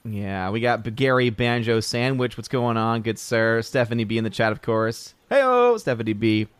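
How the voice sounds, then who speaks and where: A man's voice: medium pitch, expressive, normal speed, clean tone, no echo. A man hosting a casual livestream, greeting viewers in the chat by name with playful hails. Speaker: livestream host.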